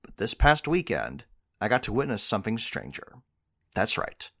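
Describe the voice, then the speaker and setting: A man's voice: fairly low pitch, gravelly, a bit bright, exaggerated voice. A man playing a showy news show host in an audio drama, speaking like a presenter with dramatic emphasis. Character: male TV news reporter, showy but easily rattled. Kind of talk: audio drama acting as broadcast narration.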